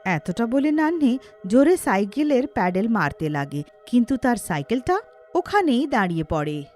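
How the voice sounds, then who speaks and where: A woman narrates a scripted cartoon story. The delivery is animated, with a fairly high voice that moves around a lot, over faint music. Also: normal speed.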